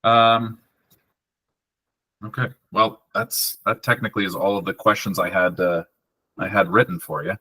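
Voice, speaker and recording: Bright, husky-voiced male podcast host. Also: lowish voice, steady tone, moderate pace, long pauses, dry recording. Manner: laid-back, conversational, uses fillers. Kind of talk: casual interview.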